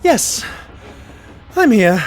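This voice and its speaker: A man's voice: sits high, big pitch swings, drawn-out words, full character voice. A man playing a sensible, long-suffering pony medic and sidekick in a cartoon audio drama, dry and exasperated.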